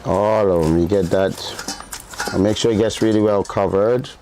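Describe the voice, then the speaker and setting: A man's voice: fairly low pitch, fairly even intonation, moderate pace, mellow, a little hiss or hum, rough recording. A man with a partly English accent hosting a cooking show as a chef, giving a chatty step-by-step demonstration and often checking in with the viewer. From Guyana. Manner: chatty, keeps checking in with "right?".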